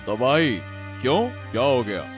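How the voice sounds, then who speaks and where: A man's voice: medium pitch, very animated, a little slow, music in the background. A man playing a matter-of-fact, mildly scolding village doctor in a cartoon story. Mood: questioning, matter-of-fact.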